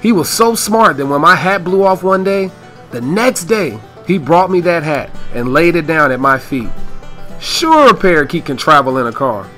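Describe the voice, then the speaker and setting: A man's voice: a little high, big pitch swings, normal speed, pushed and bright, faint music behind. An American man playing a genial, folksy father of a young family in a radio play. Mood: folksy, fond. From United States.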